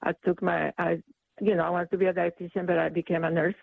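Radio caller: a woman, halting and indignant, gravelly voice over a tinny phone line. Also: on the low side, level tone. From El Salvador. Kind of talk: call-in radio talk. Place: calling in by phone.

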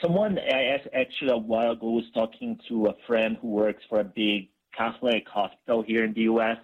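A man speaking as a guest expert on a radio talk show. He talks in an earnest, halting way, with many restarts. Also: on the low side, fairly even intonation, phone-quality sound. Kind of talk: radio interview.

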